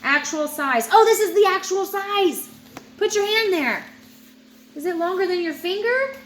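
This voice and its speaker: A woman's voice: very high voice, a little slow, roomy sound, slight background noise, poor audio. A woman reading a children's picture book aloud, chatting to a child in asides as she goes. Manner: animated, chatting to a child listener. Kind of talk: read-aloud storytelling with asides.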